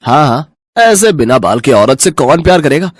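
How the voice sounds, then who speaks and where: A man's voice: fairly high pitch, sing-song, moderate pace, husky, no room sound, clean studio sound. A man playing a shallow, cruel young husband who jeers with contempt, in a cartoon moral story. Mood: mocking. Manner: jeering, contemptuous.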